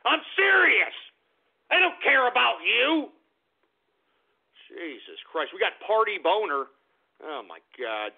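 A man hosting an internet talk radio show, ranting in a loud, profane, exasperated way, with sudden shouted outbursts. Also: high voice, very animated, takes it slowly, creaky, long pauses, slightly rough. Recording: tinny phone-like lo-fi audio.

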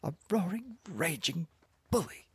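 Furious small wife for an audiobook, acted by a man: shrill, breathy, indignant, reproachful. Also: very animated, unhurried, no room sound, exaggerated voice. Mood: outraged. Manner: screaming, wailing, reproachful.

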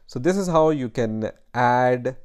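A man narrating a step-by-step computer tutorial over a screen recording, explaining plainly with frequent pauses and "right?" checks. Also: medium pitch, expressive, darkish tone, clean tone. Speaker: tutorial host.

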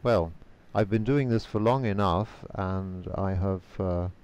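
Man, Polish native, careful measured speech, deep register, hesitant fillers, video-call sound. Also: a little mellow, smooth. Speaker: guest, conference interpreter. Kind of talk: interview answers.

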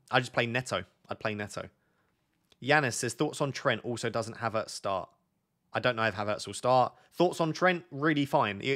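A man in his thirties with a sore, fading voice, reading viewer questions and thinking aloud on a live stream from a home desk. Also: mid-range voice. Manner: thinks aloud, reads questions from chat, talks quieter and slower. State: losing his voice, sore throat. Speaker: fantasy football streamer. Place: indoor home studio at a desk.

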